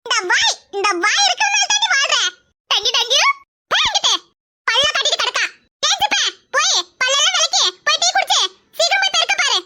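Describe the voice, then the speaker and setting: A woman voicing a scolding, sarcastic cartoon mother with a southern Tamil Nadu accent and a nagging, sharp-tongued manner. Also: very high-pitched, lively intonation, a little fast. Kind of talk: cartoon character dialogue. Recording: very loud background noise.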